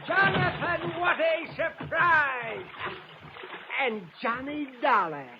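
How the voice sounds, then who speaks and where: An actor playing a scatterbrained, jolly, wealthy eccentric yacht owner in old-time radio comedy-drama, gushing and absent-minded. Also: male voice, very high-pitched, takes it slowly, heavily acted. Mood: delighted, oblivious. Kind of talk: old-time radio comic character acting. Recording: muffled old radio recording, faint noise.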